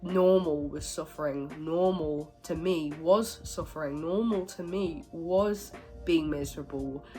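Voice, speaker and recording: Low, nasal-sounding woman with a cold, candid and self-critical, soft music underneath. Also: a little slow. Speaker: vlogger. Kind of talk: reflective personal monologue.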